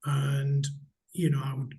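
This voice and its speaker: A middle-aged man from Newcastle with a slight Geordie accent, answering interview questions in a measured, candid way with frequent restarts. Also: mid-range voice, monotone, warm tone. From Newcastle, England. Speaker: hotel company chief executive, interviewee.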